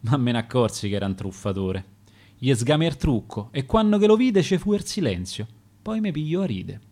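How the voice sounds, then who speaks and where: A man's voice: mid-range voice, very animated, moderate pace, warm tone. A man with a Roman accent reciting his own dialect sonnets for radio in a rhythmic, dramatic way. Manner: rhythmic, dramatic verse delivery.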